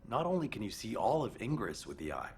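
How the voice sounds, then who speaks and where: Game role: thoughtful military man giving briefings. Lowish, steady, serious, unhurried in manner. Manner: measured, matter-of-fact briefing. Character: composed, thoughtful male military mission officer. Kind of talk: scripted video game dialogue.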